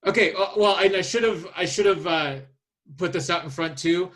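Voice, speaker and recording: Male podcast host, voice a bit on the high side; casual, hesitant, thinking out loud as he presents. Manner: hesitant, halting, thinks aloud. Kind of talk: casual chat, presenting a list of casting picks.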